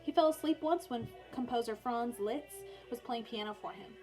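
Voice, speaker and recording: A woman's voice: a little high, moderate pace, music in the background. A woman teacher reading a children's biography aloud for online learners, in storybook narration with informal, chatty asides.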